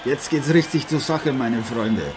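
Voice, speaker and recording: A man's voice: medium pitch, unhurried, natural voice. A man playing a genial, chummy boxing co-commentator in an improvised radio play, speaking emphatically. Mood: keen. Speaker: sports commentator. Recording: noisy, arena crowd behind.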